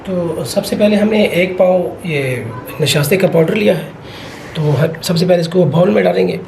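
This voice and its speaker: Man, hoarse, sore throat, gravelly, step-by-step cooking instructions, noisy background. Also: high-pitched, moderate pace, darkish tone. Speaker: guest cook. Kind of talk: cooking demonstration.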